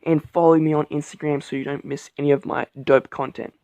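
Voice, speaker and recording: A man casually reviewing a deck of cards as a channel host, relaxed and rambling with many fillers. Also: fairly high pitch, brisk, no room sound. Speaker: playing card reviewer. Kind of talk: casual vlog product review.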